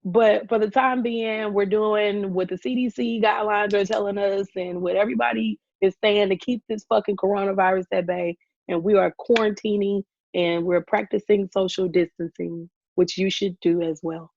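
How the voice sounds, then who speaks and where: A woman co-hosting a casual podcast over a video call, hoarse from losing her voice and talking loudly to be heard, with frequent ums. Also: medium pitch, steady tone, moderate pace, dry recording. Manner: talks loudly to push past a weak voice, with frequent ums. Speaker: podcast co-host. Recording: dull lo-fi video call audio.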